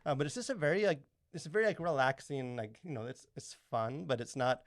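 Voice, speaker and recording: A man, probably in his thirties, co-hosting a podcast with casual, rambling, halting talk full of filler words. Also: mid-range voice, very animated, no room sound, studio quality. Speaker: podcast co-host.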